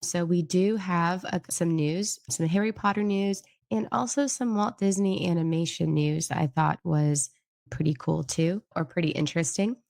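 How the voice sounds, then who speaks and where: Young female host, low and gravelly, nasal from a cold, rambling chatty read, dry close sound. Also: normal speed, darkish tone, no room sound. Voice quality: nasal, getting over a cold. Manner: rambling and hedging, with many fillers. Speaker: host of a news recap channel. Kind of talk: casual commentary reading an article aloud.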